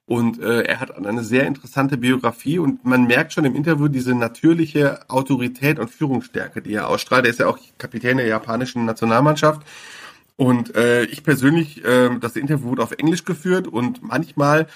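A man's voice: medium pitch, moderate pace. A man, a sports reporter, talking casually in a podcast interview, with many fillers and restarts.

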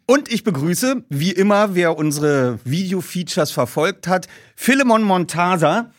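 A man presents as a host to his listeners. His voice sits a little higher than most men's. The sound is close and dry, with very little room. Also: expressive, unhurried, dry recording. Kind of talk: scripted ad read and casual show presenting.

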